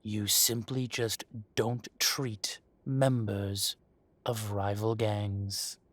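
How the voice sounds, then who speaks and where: Slow, low, menacing mafia boss, played by a man. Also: flat delivery, a bit bright, dry recording. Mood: coolly reasoning. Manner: mock-polite, taunting, deliberate menace. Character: menacing possessive male dragon shifter mafia boss. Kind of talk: scripted roleplay audio drama, addressing the listener.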